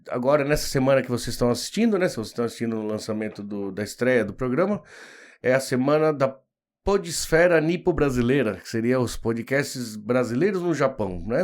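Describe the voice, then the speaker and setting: Um homem brasileiro de uns quarenta anos apresentando um bate-papo descontraído, falante, rindo com frequência e cheio de "né". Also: male voice, medium pitch, lively intonation, a little fast, darkish tone. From Brazil. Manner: chatty, laughs often, many fillers like "né". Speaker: host. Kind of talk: casual interview chat.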